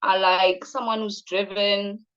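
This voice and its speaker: Brief: woman, late 30s, South African accent, slow and direct interviewee, slightly dull audio. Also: medium pitch, flat delivery, fairly bright tone, clear, resonant tone. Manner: direct, mixes in Sesotho phrases. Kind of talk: casual interview answers.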